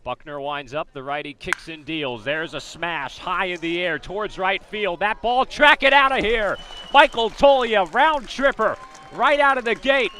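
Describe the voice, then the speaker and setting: A man with an American accent gives live radio play-by-play of a baseball game. His voice sits a bit high and has a bright, forceful edge. He describes the action pitch by pitch, filling in detail on the players and the field. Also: unhurried.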